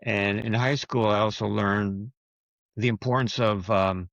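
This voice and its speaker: A man's voice: fairly low pitch, fairly even intonation, a little slow, vocal fry, a bit bright, no echo, highs cut off. A man from Quebec whose first language is French, talking as a podcast guest in a reflective way, often restarting his sentences. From Quebec, Canada. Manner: thoughtful, with frequent restarts and fillers. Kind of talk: casual interview talk.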